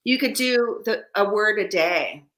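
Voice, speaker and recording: A woman hosts a casual live chat, her voice bright and forward. She talks freely and swears without a second thought. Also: mid-range voice, animated, measured pace. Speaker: host.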